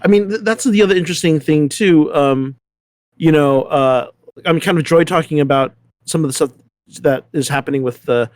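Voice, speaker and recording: A man hosting a casual panel discussion, thinking aloud in a halting way and often repeating his words. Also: fairly high pitch, darkish tone, dry recording. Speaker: discussion host.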